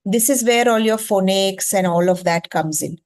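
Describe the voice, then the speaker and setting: An Indian-accented woman, a native Gujarati speaker, teaches in an explanatory style. She speaks at a middle pitch for a woman. She leans on repeated phrases for emphasis and often checks in with a quick rhetorical question. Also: darkish tone. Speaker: educator leading a masterclass on reading.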